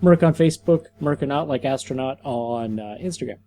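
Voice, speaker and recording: A man's voice: mid-range voice, brisk. A man co-hosting a comedy podcast, casually plugging the show and his work. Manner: relaxed, fillers while plugging links. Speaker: podcast co-host.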